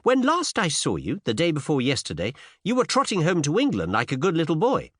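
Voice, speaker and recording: Man voicing a pert, high-pitched young woman. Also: wildly swooping pitch, brisk, no room sound, studio quality. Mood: pert, teasing. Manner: pert, teasing, emphatic. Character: cheeky, bold young woman, brash and morbidly curious. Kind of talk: audiobook character dialogue with period slang.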